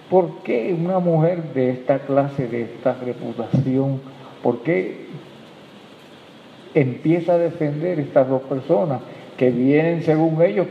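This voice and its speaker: Slow-preaching pastor, man. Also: a little high, creaky, a little hiss or hum. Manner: preaching with emphatic rises and soft drops, addressing the congregation. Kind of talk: sermon. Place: church hall. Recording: tinny, echoey hall recording.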